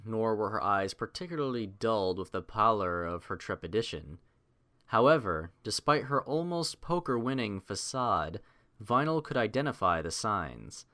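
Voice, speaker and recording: Expressive low-pitched male audiobook narrator.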